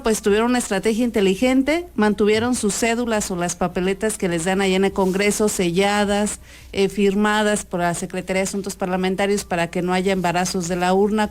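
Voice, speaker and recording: A Mexican woman reports the news live in a medium-pitched voice. She talks conversationally to the host, feeling her way through long, winding sentences. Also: darkish tone.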